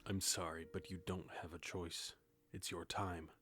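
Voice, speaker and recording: Male actor as ageless grim reaper narrator: very low voice, restrained and grave, audio drama over clear music. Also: little pitch movement, measured pace, sharp tone. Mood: solemn, insistent. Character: grim reaper narrator, centuries old, detached, puzzled. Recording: music behind the voice. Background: music with guitar.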